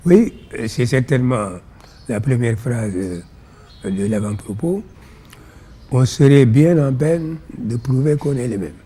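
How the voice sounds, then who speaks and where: A man with a Senegalese accent and Pulaar as his first language, explaining ideas in measured, didactic phrases as an interview guest. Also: mid-range voice, mellow, breathy edge. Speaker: interview guest, philosophy author.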